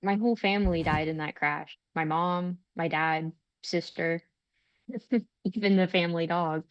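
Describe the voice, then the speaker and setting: A dry, slightly dull recording of an actress playing a kind young woman at a table read. She is earnest and relentlessly optimistic, cheerful even when she hesitates over her words. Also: fairly low pitch, measured pace, clear, resonant tone, no echo, close to an everyday voice. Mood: gleeful, bright. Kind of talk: script table read, naturalistic acting.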